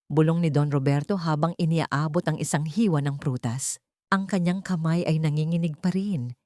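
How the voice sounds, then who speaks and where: A woman's voice: fairly low pitch, darkish tone, no room sound, clean studio sound. A woman reading a dramatic scripted story as an audiobook narrator.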